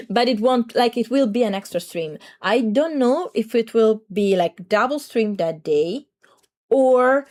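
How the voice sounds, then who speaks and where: A young adult woman streamer with a slightly raspy throat, chatting to viewers in a rambling, talkative way. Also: medium pitch, darkish tone. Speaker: VTuber streamer. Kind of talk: casual livestream chat.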